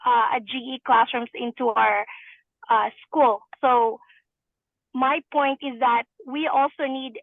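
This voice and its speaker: Woman parent, high voice over a phone-like line, hesitant. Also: steady tone, takes it slowly, no echo. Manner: hesitant, many fillers and restarts. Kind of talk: public comment.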